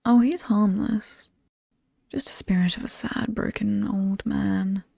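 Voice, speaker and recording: A British woman playing an alluring, sinister demon mistress of a haunted house in a horror ASMR roleplay, breathy and often whispering, with a taunting, teasing manner. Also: medium pitch, steady tone, slow, a little mellow, dry recording, studio quality, heavily acted. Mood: sinister relish.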